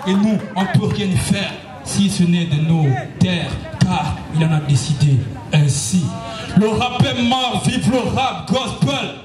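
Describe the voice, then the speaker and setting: A young man performing gospel rap live on stage with a handheld mic, shouting rhythmic verses and calling out to the crowd. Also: high voice, measured pace. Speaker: gospel rapper. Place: outdoor night concert stage. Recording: roomy, distant live concert audio with music. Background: backing music.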